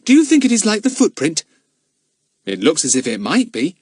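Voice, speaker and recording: A man's voice: fairly high pitch, wildly swooping pitch, moderate pace, a little mellow, husky, no room sound, clean studio sound, voice pushed up, full character voice. A man voicing a keen, sensible schoolboy detective, eager and forthright, in a children's audiobook. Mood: excited. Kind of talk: acted children's audiobook dialogue.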